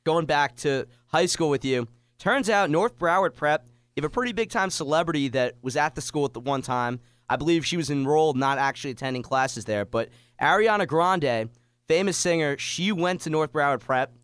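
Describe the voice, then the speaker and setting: A man's voice: a little high, expressive, normal speed, a bit bright, clear, resonant tone, dry recording. A young man hosting a pre-game sports radio interview, asking questions in a conversational way.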